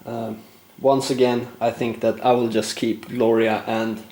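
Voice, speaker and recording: A man narrating a board game play-through, explaining rules and thinking aloud with frequent fillers, his voice going slightly hoarse. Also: mid-range voice, level tone, unhurried, darkish tone, reverberant. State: losing his voice, clearing throat. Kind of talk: casual gameplay commentary.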